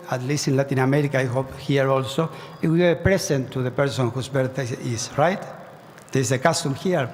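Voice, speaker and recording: A man's voice: mid-range voice, darkish tone, a little hiss or hum. A man giving an earnest devotional address to a large gathering, pushing hard on key phrases. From Argentina. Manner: earnest, exhorting, with fervent rising emphasis. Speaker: speaker at a spiritual gathering. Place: large hall. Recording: long reverb of a large hall.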